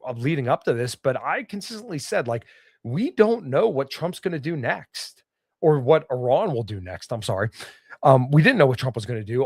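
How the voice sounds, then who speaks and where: A man hosting a political podcast on his own, slightly hoarse as he gets over an illness. He reads news items and cuts in with blunt opinions. His voice sits at an average pitch, recorded dry and close with no room sound. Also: no echo. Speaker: podcast host. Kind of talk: solo political commentary livestream.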